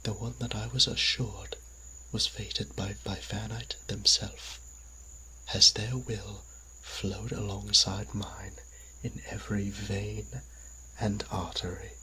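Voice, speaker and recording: A man playing an anguished, devout, centuries-old vampire priest in an audio drama, speaking in a breathy, halting, pleading way. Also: lowish voice, level tone, sharp tone, slight background noise, heavily acted, low-quality recording. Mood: bitter, sorrowful. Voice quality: breathy, husky, often near whisper. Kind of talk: dramatic audio roleplay acting.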